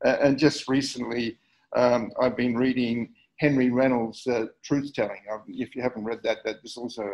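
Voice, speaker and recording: Brief: middle-aged Australian man, halting slide talk, muffled lo-fi sound, mid pitch. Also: steady tone, a little mellow. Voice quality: hesitant, many fillers and repeats. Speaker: community history speaker. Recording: dull lo-fi recording.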